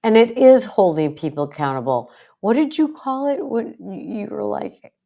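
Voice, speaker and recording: A woman's voice: mid-range voice, expressive, normal speed, gravelly, warm tone. A middle-aged American woman hosting a podcast, chatting casually in an emphatic, folksy way. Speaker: podcast host. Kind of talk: casual conversational interview.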